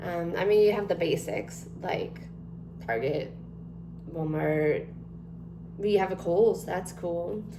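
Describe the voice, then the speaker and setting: A woman's voice: fairly low pitch, slow pace, pauses often. A woman in her twenties chatting casually to camera, rambling with many ums and likes. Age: 20s. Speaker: vlogger. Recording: echoey room with noticeable hum.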